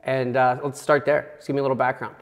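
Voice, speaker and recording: A man hosting an interview in a casual, upbeat way, using lots of fillers and trailing off quietly. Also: mid-range voice, flat delivery, fast, in a large hall. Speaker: show host.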